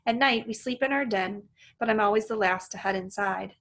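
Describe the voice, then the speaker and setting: A woman reading a children's book aloud in an animated, storytelling manner. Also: mid-range voice, brisk. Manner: animated, acts out the dialogue. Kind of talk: expressive read-aloud narration with asides to listeners.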